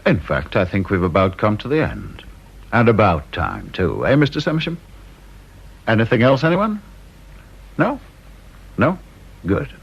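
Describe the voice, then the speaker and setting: A caustic English board chairman in a radio play, gravelly and swooping widely in pitch. He is officious and sardonic, leaning on his words with relish, on a dull old recording. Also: wildly swooping pitch, a little slow, pauses often, heavily acted. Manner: sardonic, officious, drawling emphasis. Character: caustic, domineering male chairman of an interview board. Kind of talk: British radio drama acting.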